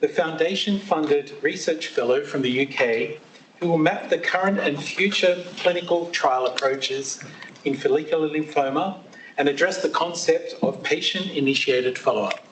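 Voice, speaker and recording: A man's voice: fairly high pitch, moderate pace. Male host, formal intro, echoey hall with faint background hiss. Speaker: seminar host. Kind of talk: introducing a speaker. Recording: echoey hall, distant mic, faint noise.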